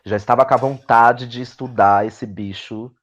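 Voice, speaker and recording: A man's voice: mid-range voice, steady tone, moderate pace. A man with a Brazilian Portuguese accent talking reflectively as an interview guest, often repeating words and adding tag questions. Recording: dull, lo-fi audio.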